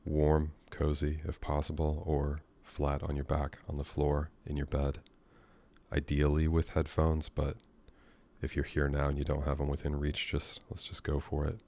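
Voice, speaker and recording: American guy doing guided meditation, super low mellow voice, really flat and slow with long gaps. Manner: gentle, unhurried, with long pauses. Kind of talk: guided meditation, soothing and unscripted.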